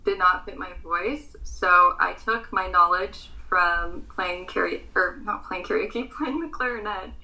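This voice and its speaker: Young american woman pageant guest, bright tense voice with vocal fry, talks earnest with ums. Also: fairly low pitch, unhurried, hardly any pauses, poor audio. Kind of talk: casual interview answers.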